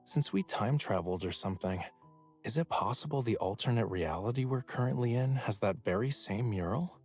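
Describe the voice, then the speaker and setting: An actor playing a teasing, haughty young man in an intimate romance game, with a wry, unhurried delivery. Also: mid-range voice, animated, a little mellow, soft background music, close to an everyday voice. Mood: musing, assured. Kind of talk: English game dub, intimate romance acting.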